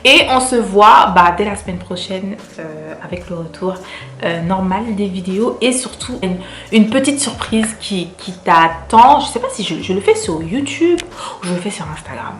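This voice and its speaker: A woman's voice: medium pitch, animated, moderate pace, fairly bright tone, roomy sound, a little hiss or hum. A woman from Cameroon with a French accent, chatting to her viewers in a casual vlog, repeating words for emphasis. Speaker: vlogger.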